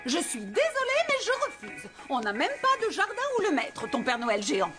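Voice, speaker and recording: A woman's voice: sits high, huge pitch swings, normal speed. An actress playing an exasperated, fretful mother of a small boy in a children's cartoon, with music behind her. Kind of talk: French-dubbed children's cartoon.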